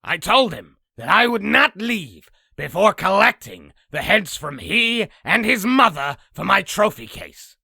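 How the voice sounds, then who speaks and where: A man voicing a boastful raspy demon, telling an exaggerated battle story theatrically for a comic dub. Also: high-pitched, big pitch swings, a little slow, hardly any pauses, bright, forceful tone, no echo, studio quality, exaggerated voice. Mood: boastful, grandiose. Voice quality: raspy, gravelly. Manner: theatrical, self-aggrandizing. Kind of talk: comic dub character narration.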